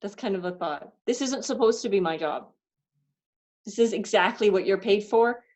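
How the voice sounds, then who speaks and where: A woman, a parent, speaking candidly and haltingly about her frustrations in a reflective self-inquiry session. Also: medium pitch, a little mellow. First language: not German. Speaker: parent doing the worksheet.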